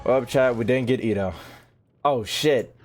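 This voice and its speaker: A man's voice: mid-range voice, unhurried, over music. A man chatting casually to his livestream audience while gaming, slangy and profane, with mock exasperation. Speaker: video game streamer talking to chat.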